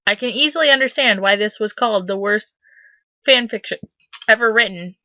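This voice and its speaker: A woman's voice: mid-range voice, fairly quick, pushed and bright, no room sound, muffled recording. A woman giving sarcastic, incredulous commentary as she hosts a dramatic reading of fan fiction. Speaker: host doing a dramatic reading. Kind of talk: mocking commentary on read-aloud fan fiction.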